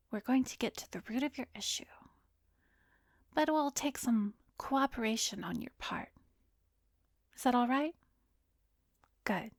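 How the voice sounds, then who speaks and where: A woman playing a calm, coaxing female therapist in a whispered ASMR hypnosis roleplay, soothing and measured, with a soft breathy voice close to the microphone. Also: on the high side, no room sound. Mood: calm, reassuring, then soothing, hypnotic. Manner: slow, soothing, measured guiding with pauses. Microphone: close microphone, dry recording.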